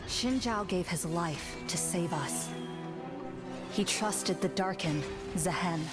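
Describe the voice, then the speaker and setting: A solemn guardian woman of a fantasy motion comic, slightly low and very slow. She is grave, reverent and dutiful, with loud music swelling under her words. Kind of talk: scripted motion comic narration.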